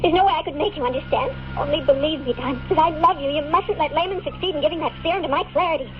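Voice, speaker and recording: A woman's voice: high voice, racing, natural voice. An actress playing a secretive, desperate woman torn between love and duty, earnest and melodramatic in 1950s radio style. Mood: pleading. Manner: urgent, breathlessly earnest, melodramatic. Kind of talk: 1950s radio drama acting. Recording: muffled, noisy old radio recording.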